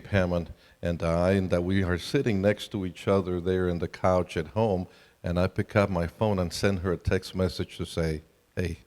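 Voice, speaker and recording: A man's voice: low voice, a little mellow. A middle-aged or older man with a Colombian accent, giving a conversational family seminar talk to an audience. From Colombia, South America. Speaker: seminar speaker. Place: large hall at a camp meeting. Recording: reverberant hall sound system.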